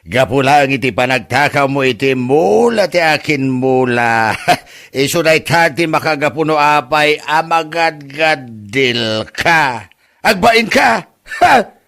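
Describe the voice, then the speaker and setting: A man's voice: fairly high pitch, expressive, exaggerated voice. A man with an Ilocano accent playing an exasperated, mocking villager who scolds in a comedy radio drama. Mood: scornful scolding. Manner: loud mocking outbursts, drawn-out scolding.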